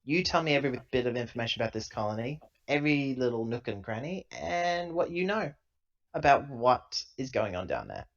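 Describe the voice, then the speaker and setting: A man's voice: mid-range voice, sing-song, fairly quick, highs cut off. A man voicing a tough, impatient company woman in a tabletop game, blunt and demanding.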